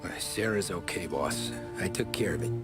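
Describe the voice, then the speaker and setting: A man's voice: low voice, moderate pace, lightly acted. A man playing a loyal, level-headed mob underling in a video game, with a deferential manner. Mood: reassuring. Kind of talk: video game cutscene acting. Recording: loud music over the voice.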